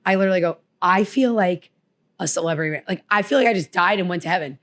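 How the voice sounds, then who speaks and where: Woman, New Jersey accent, podcast co-host, casual chat, animated storytelling. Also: lowish voice, fast talker. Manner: chatty, acts out quotes.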